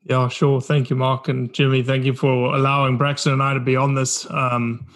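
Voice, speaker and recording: A man's voice: mid-range voice, flat delivery, moderate pace. A man in his forties from South Africa with a South African accent, telling his story earnestly as a podcast guest. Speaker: podcast guest, documentary founder. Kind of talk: casual interview talk.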